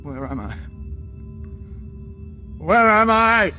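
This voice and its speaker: A man's voice: high-pitched, sing-song, extremely slow, over music, exaggerated voice. A man acting a weary, wounded middle-aged father held prisoner in a period radio drama. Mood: confused, dazed.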